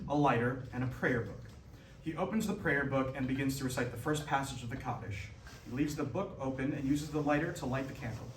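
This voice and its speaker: A man with a slightly high voice reads out stage directions as narration. He is heard from a distance in an echoey hall, with noticeable noise behind him. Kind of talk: scripted narration at a staged reading.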